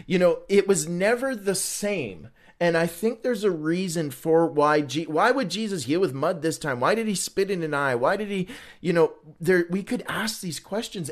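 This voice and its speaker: Male Bible teacher, 40s, slightly high voice, informal and conversational, poses questions and answers them himself. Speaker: Bible study host and teacher. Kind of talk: informal Bible read-along teaching with commentary.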